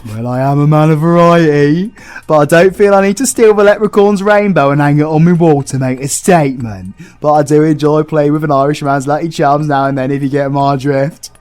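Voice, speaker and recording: A man's voice: on the high side, brisk, a little mellow, smooth, natural voice. A British man playing a foul-mouthed, sarcastic villain cast as a grumpy Scrooge in a comedic audio roleplay, ranting theatrically. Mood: cheeky, sarcastic.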